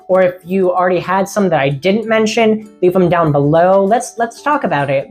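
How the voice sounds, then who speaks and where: A woman casually vlogging about seasonal witchcraft in a friendly, rambling way, her voice getting a little raspy. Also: fairly low pitch, darkish tone. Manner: rambling, friendly, laughs often. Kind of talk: casual chatty vlog.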